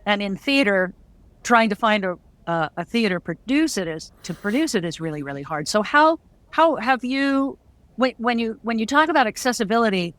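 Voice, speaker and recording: A woman's voice: fairly high pitch, lively intonation, normal speed, fairly bright tone, no echo. A woman hosting an interview, asking questions in a conversational, rambling way. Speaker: interviewer host.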